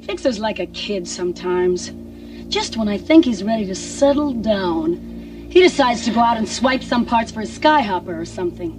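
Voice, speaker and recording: An actress plays a dreamy young frontier woman in a radio drama. She is fond and a little exasperated, mid-pitched and set back from the mic, with clear music behind her. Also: lively intonation, moderate pace, recorded from a distance, natural voice, poor audio. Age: young adult.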